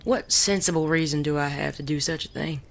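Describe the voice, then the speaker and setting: Gentleman outlaw as acted, male character, wry, teasing, politely worded, gravelly edge, audio drama, faint background noise. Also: medium pitch, fairly quick. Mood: smooth, reassuring. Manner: genteel, wry, polite wording. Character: suave, teasing gentleman bandit, adult man.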